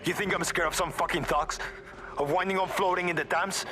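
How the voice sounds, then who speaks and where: A man's voice: high-pitched, fairly even intonation, brisk, bright, forceful tone, slight background noise. A man playing a scornful, defiant captured resistance fighter in a video game. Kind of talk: dramatic game cutscene acting.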